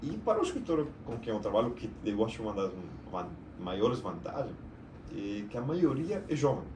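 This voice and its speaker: A middle-aged man from Argentina with an Argentine Spanish accent, a native Spanish speaker, talking about his work in a casual, slightly halting way as a podcast guest. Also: medium pitch, sing-song, a crowd in the background. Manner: chatty, slightly halting storyteller. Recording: roomy echo, mic at a distance.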